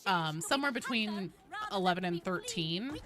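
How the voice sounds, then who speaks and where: A woman game streamer casually explaining lore in a halting, thinking-aloud way. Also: mid-range voice, big pitch swings. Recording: clearly noisy background.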